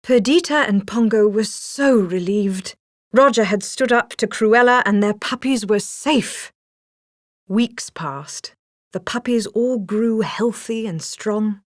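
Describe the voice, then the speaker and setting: A woman's voice: mid-range voice, no room sound, clean studio sound. A woman reading a scripted children's story as a storybook narrator.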